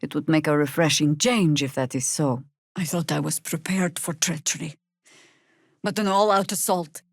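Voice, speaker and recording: An actress playing a world-weary, stern veteran druid woman in a video game, with dry, sardonic, measured delivery. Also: low-pitched, no room sound. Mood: grave, reflective. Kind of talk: scripted game character dialogue and spell calls.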